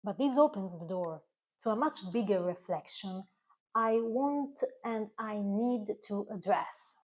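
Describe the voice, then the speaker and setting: A woman from Italy whose first language is Italian, speaking English with an Italian accent straight to camera as the creator of a food channel, in an earnest, careful way with slightly halting phrasing. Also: mid-range voice, lively intonation.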